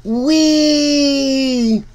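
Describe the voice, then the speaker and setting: A man reading a storybook aloud for children in an animated, deliberate way, speaking directly to young listeners. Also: extremely high pitch.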